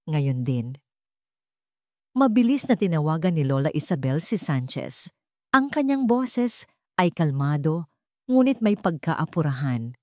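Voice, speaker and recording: Low-voiced Filipino woman narrating drama. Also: dark tone, dry recording, clean studio sound. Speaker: audio story narrator. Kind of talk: scripted dramatic story narration.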